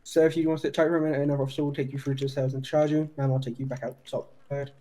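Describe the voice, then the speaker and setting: A man's voice: medium pitch, level tone, rapid-fire, mellow, natural voice. A man playing a polite, methodical police detective in an improvised online roleplay, with a procedural, questioning manner. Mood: polite, businesslike.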